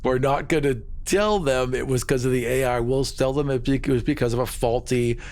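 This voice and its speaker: A man's voice: mid-range voice, fairly quick, a little mellow. A man chatting casually as a tabletop game player, improvising what his character will do and say. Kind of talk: casual improvised roleplay chat.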